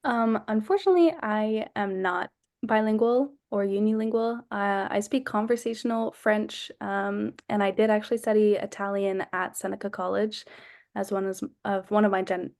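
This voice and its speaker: A woman's voice: mid-range voice, steady tone, normal speed, darkish tone. A young woman in her twenties, an airline agent on a panel, chatting with lots of ums and restarts. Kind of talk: casual panel talk.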